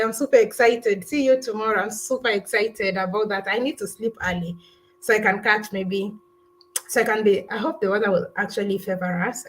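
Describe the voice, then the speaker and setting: A woman, talking loosely to her viewers during a live travel stream. Her voice sits at an ordinary middle pitch. She rambles, restarts her sentences and often trails off with "I don't know". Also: low-quality recording. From Kenya. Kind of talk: casual live-stream chat, answering viewer comments.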